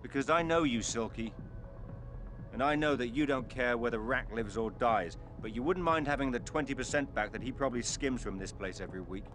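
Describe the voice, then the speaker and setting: A man's voice: medium pitch, fairly quick, close to an everyday voice. A man playing a cool, defiant British secret agent in a video game, with a British accent and a terse, dryly confident delivery. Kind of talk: cinematic video game acting. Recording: game soundtrack music behind. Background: music.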